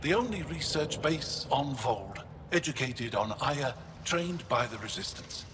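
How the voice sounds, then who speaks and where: Upbeat alien scientist. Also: male voice, medium pitch, big pitch swings, background noise. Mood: brisk. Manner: brisk, matter-of-fact. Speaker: lead researcher. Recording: echoey game audio with ambience.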